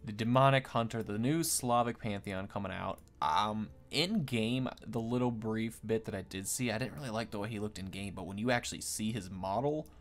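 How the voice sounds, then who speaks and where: Man, lowish pitch, gaming video host, casual unscripted commentary, rambling explanatory delivery. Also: moderate pace, few pauses.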